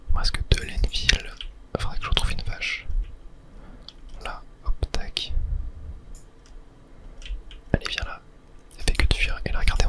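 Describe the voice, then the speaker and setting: A person whispering relaxed ASMR gameplay commentary, murmuring thoughts aloud as they play. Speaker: video game player and host.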